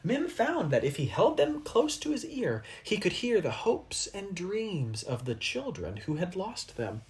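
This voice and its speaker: A person reading a children's storybook aloud expressively, with dramatic pauses and asides to young listeners. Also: mid-range voice, huge pitch swings. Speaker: storybook reader.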